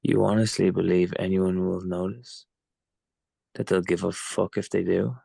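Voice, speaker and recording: An actor playing an angry, foul-mouthed young captive in a screenplay read, blunt, defiant and indignant. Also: male voice, low-pitched, little pitch movement, normal speed, creaky, dark tone, husky, no room sound, exaggerated voice. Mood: guarded, defiant.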